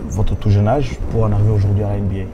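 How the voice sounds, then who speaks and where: A man's voice: lowish voice, level tone, rapid-fire, dull audio, noisy background. A man hosting an interview show, speaking formally with frequent hesitations and fillers. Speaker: talk show host.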